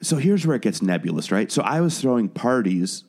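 A man's voice: mid-range voice, very animated, a little fast, darkish tone, slightly rough. A man born in Wales, speaking with no accent, chatting as a guest about his music and radio career, often restarting and repeating words. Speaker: guest, musician and podcast producer. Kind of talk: casual interview conversation.

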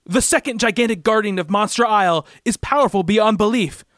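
A man's voice: high-pitched, a little fast, fairly bright tone, no room sound, studio quality. A man narrating a comic-book radio play in a melodramatic, suspenseful scripted style. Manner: dramatic, suspenseful.

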